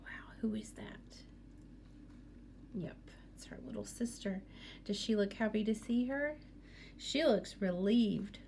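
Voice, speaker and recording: Slow-paced woman reading to children. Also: mid-range voice, gravelly, slight background noise. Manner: expressive, with questions to young listeners. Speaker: teacher reading to students. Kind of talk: picture book read-aloud with asides to children.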